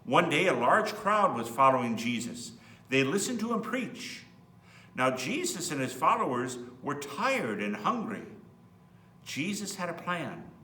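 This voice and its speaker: A man's voice: a little high, big pitch swings, a little slow, sharp tone. A man reading a children's Bible story aloud as a priest, expressively, with simple asides to young listeners. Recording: roomy, distant mic, faint hum.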